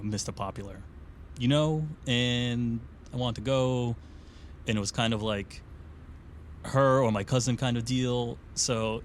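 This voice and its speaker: Actor as sheepish, self-deprecating male friend, thirties. Middle-pitched, conversational delivery, light vehicle rumble underneath. Naturalistic audio drama. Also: lightly acted. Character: easygoing, self-deprecating man in his thirties, an old friend.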